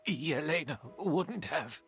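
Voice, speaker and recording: Slow-spoken, dramatic perfume master. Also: female voice, sits low, expressive, heavily acted. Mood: shocked, disbelieving. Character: bitter older woman perfume master, obsessed, vengeful. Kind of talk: dramatic video game voice acting. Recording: loud music under the voice.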